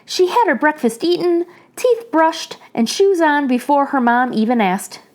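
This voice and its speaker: A woman reading a children's picture book aloud in an animated storytelling manner. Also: sits high, unhurried. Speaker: children's story reader, channel host.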